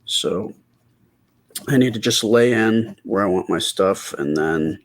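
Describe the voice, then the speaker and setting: A middle-aged man talking softly and casually in ASMR style as he draws, with a quiet, hesitant, rambling delivery. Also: on the low side, slow pace. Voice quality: quiet, soft-spoken voice. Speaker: artist and channel host. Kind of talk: casual ASMR storytime while drawing.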